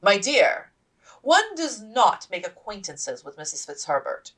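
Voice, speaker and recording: A woman voicing a bossy, gossipy officer's wife in a storybook, brisk and conspiratorial. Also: mid-range voice, very animated, fairly bright tone, recorded from a distance, voice pushed up. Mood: condescending.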